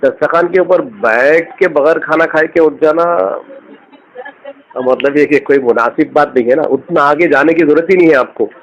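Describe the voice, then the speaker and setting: Muffled phone voice, advising cleric. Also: male voice, on the high side, moderate pace. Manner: explanatory, instructive. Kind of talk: conversational religious advice. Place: on a phone call. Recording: dull lo-fi phone recording.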